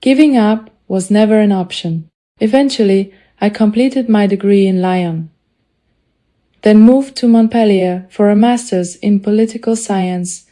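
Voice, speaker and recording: Mellow woman giving earnest personal testimony, foreign accent. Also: mid-range voice. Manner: earnest, deliberate, short measured phrases. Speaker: student telling a personal story. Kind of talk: prepared personal testimony, read aloud.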